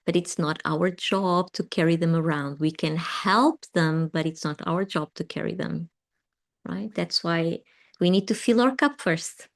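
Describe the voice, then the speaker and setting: Slow, low-voiced woman teaching meditation. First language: not English. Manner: gentle and affirming, laughs often, with pauses and ums. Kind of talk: live guided meditation session, answering chat comments.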